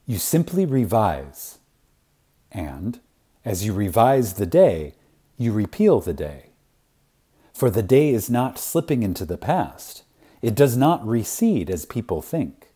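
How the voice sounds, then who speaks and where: A man reading an inspirational spiritual lecture aloud in a reverent, dramatic way, with long pauses and strong emphasis. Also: lowish voice, big pitch swings, mellow, husky.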